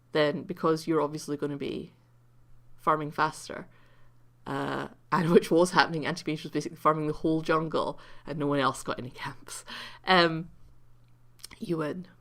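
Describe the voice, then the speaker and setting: Croaky woman with a gravelly voice. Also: low-pitched, a bit bright. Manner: rambling and self-mocking, with many ums. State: croaky from just waking up. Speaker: video game commentator. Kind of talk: casual replay commentary.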